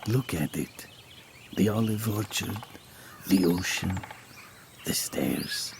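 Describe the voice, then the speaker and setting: An actor playing a brooding village man who tells of his past in a measured, theatrical audio drama. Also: sits low, vocal fry, noisy background, heavily acted. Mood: haunted, wistful. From southern Italy. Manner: slow, measured, theatrical, with pauses. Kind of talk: dramatic first-person audio drama narration.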